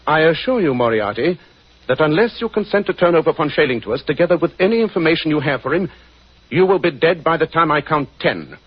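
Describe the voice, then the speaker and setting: Commanding detective, animated old radio voice. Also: male voice, a little high, fast talker, breathy edge, no room sound. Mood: stern menace. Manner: clipped, authoritative, formal. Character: shrewd, commanding gentleman detective, stern and resolute. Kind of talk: 1940s radio drama acting. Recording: dull, noisy 1940s radio recording.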